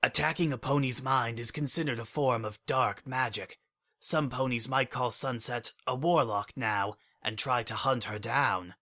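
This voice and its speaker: A man voicing a calm, inscrutable changeling assassin in an audiobook-style reading, speaking in a level, formal, measured way. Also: mid-range voice. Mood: probing.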